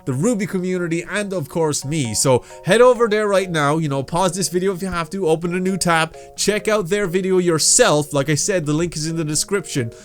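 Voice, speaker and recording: A man with a slightly high voice talks as a reaction host, casual and chatty. He addresses his viewers informally, like mates. Faint music plays behind him. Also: brisk, hardly any pauses.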